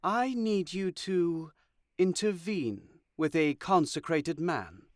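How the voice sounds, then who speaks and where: A man playing a sly god of deception in an audio drama, speaking in a measured, deliberate way with pauses. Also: a little high, expressive, dry recording, clean studio sound. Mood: sly, persuasive.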